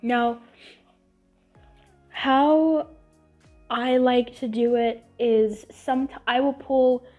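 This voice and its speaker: A chatty woman with a somewhat high, sniffly voice talks through a tutorial, rambling and restarting her sentences. Her voice comes through a tinny, phone-like line, and soft music plays underneath. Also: steady tone, drawn-out words, long pauses. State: sniffly, congested voice. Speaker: channel host. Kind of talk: casual tutorial chat.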